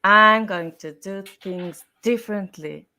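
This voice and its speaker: A woman speaking as an expert guest in an interview, explaining her points deliberately in short, emphatic phrases. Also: medium pitch, sharp tone. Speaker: style and communication expert guest.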